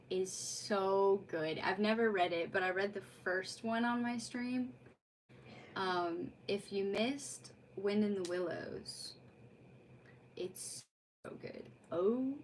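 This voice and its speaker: A woman's voice: fairly high pitch, poor audio. Gushing woman streamer over background noise. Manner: gushing, effusive, rambling. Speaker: streamer hosting a live read-aloud. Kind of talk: casual livestream chat.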